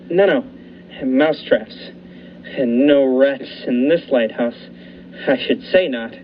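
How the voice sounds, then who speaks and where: A man playing a haunted lighthouse keeper who narrates a radio thriller with dramatic, deliberate pauses. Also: medium pitch, natural voice. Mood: uneasy. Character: haunted lighthouse keeper recounting his ordeal. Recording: muffled lo-fi recording with faint noise.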